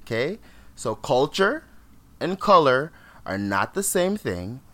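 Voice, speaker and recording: A man's voice: medium pitch, sing-song, slow, bright, forceful tone. A man from Jamaica co-hosting a podcast, chatting casually in an emphatic way and laughing often. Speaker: podcast co-host. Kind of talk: casual chat.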